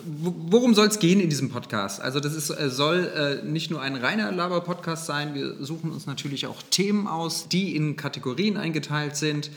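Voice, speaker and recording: A man's voice: fairly high pitch, brisk, few pauses. A man in his forties, a sexton co-hosting a church podcast, chatting casually with jokes and many fillers. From Germany. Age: 40s. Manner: joking, self-deprecating, hesitant with fillers. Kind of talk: casual chat.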